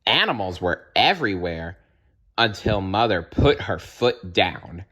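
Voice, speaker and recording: A man reading a children's story aloud as narrator, with expressive delivery. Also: low voice, measured pace. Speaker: storybook reader.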